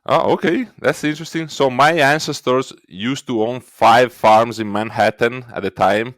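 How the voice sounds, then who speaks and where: A man's voice: mid-range voice, fairly even intonation, moderate pace, fairly bright tone, dry recording. A man with a thick Italian accent, a guest in an interview, explaining things step by step with frequent "uh" fillers. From Italy. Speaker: guest, records indexing team lead. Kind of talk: conversational interview.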